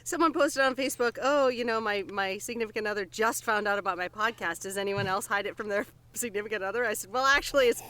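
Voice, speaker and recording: A woman's voice: mid-range voice, fast talker, few pauses, pushed and bright. A woman on a podcast, telling anecdotes and bantering casually with fellow cast members.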